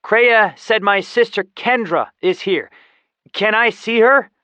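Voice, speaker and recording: Man playing an eager, high-pitched boy adventurer. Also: slow, sharp tone, dry recording, heavily acted. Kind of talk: audiobook character voice.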